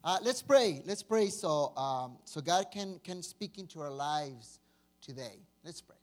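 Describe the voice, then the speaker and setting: A man's voice: a little high, expressive, a little slow, darkish tone, faint music behind. A man from Mexico with a Mexican accent and Spanish as his first language, preaching an informal, chatty sermon with jokes. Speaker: pastor.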